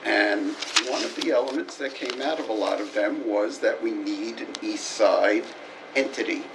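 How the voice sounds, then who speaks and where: Low-voiced man commenting at a meeting. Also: normal speed. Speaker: committee member. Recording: distant mic with background noise.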